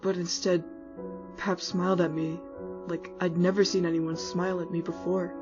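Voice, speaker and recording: An actor plays a laid-back skeleton guy narrating a cartoon comic dub as a wistful older brother. He sounds casual and wry, with music clearly behind a slightly dull recording. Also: medium pitch, little pitch movement, moderate pace, lightly acted. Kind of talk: scripted first-person storytelling in a comic dub. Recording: muffled audio with music underneath.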